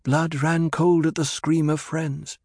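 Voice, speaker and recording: A man's voice: a little high, level tone, slow pace, a little mellow, dry recording, clean studio sound, voice pushed up. Priestess mother for audiobook, male narrator: grave, formal, commanding, somewhat raised voice. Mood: sorrowful.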